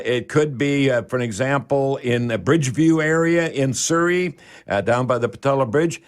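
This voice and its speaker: Radio advice host, male, older. Mid-pitched, folksy, halting explainer who repeats himself. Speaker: radio call-in show host, home repair expert.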